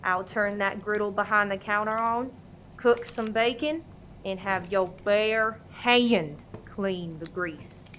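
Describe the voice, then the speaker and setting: A woman's voice: mid-range voice, slow pace, a bit bright, a little hiss or hum. A woman acting as a bossy, threatening interrogator in a comedic radio play, barking orders in a commanding way. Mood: menacing.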